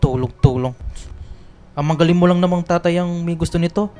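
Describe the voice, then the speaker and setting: Radio drama father, male actor: high-voiced, sour, impatient, always grumbling, over music. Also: a little fast, mellow, lightly acted. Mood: bitter, dismissive. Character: bitter, impatient, grumbling father resenting his family duties. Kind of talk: Filipino radio drama acting.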